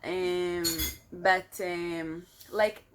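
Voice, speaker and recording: A woman in her twenties from Israel, a native Hebrew speaker with an Israeli accent, talking reflectively in a casual vlog and often pausing with fillers. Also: on the low side, fairly even intonation, slight background noise. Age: 20s. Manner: reflective, searching for words with many fillers. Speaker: vlogger. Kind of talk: casual personal vlog monologue.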